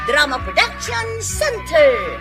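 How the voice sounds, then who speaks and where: A woman's voice: sits high, wildly swooping pitch, slow pace. A woman with an Ilocano accent reading scripted radio drama credits as the announcer, with music underneath.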